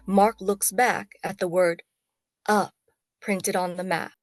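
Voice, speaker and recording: A woman's voice: mid-range voice, fairly even intonation, takes it slowly, dry recording, clean studio sound. A woman narrator reading screenplay stage directions as dramatic scripted narration. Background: faint background music.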